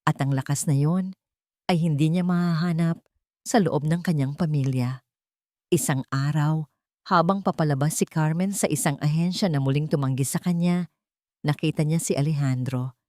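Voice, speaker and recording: A woman with a fairly low voice reads a dramatic love story as its narrator. She speaks in deliberate, emotive phrases. The recording is dry and close, with little sense of a room. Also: mellow, no room sound.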